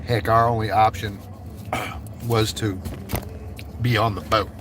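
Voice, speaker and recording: A man's voice: lowish voice, little pitch movement, slow pace, background noise. A man from South Florida chatting casually with viewers on a live stream, laid-back and saying "bro" a lot. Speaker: livestream host.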